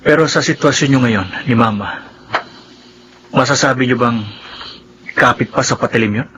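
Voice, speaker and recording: A man's voice: medium pitch, moderate pace, natural voice. A man acting a polite, probing young stepson in a Filipino radio drama, respectful and questioning. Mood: probing, concerned. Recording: dull lo-fi audio, faint noise.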